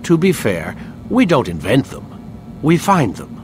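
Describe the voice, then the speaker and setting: A man's voice: mid-range voice, huge pitch swings, unhurried, over music. A man playing a smug, condescending older scientist in a video game, lecturing articulately. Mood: smug, secretive. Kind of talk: video game cutscene acting. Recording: noisy game scene with ambient hum.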